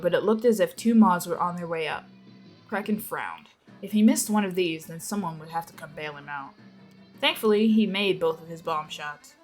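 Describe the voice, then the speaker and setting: A woman reading a scripted action story aloud as a dramatic narration. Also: on the low side. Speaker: narrator of a fan fiction reading.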